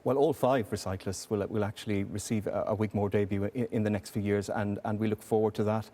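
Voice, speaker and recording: A man's voice: lowish voice, steady tone, fairly quick, dark tone. A man on a competition jury, interviewed and answering in a hesitant way, often repeating words. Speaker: jury member, hall director. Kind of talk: interview chat.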